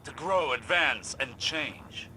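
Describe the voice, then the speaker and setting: A grim lore-keeper from a video game, grave and deliberate. His voice is very sharp and tense, and he lets each word land slowly. A faint hum of ambience sits behind him. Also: medium pitch, animated, full character voice, low-quality recording. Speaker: game character.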